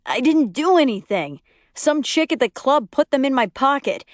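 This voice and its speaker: A woman's voice: sits high, very animated, normal speed, no room sound, studio quality, pitched above the performer's own voice. A woman voicing a defensive, exasperated young husband arguing back.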